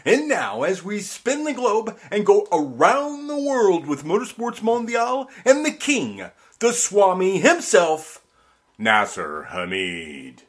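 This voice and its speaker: Slow, lively announcer man. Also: high voice, slightly rough. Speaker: podcast host. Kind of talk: scripted show intro.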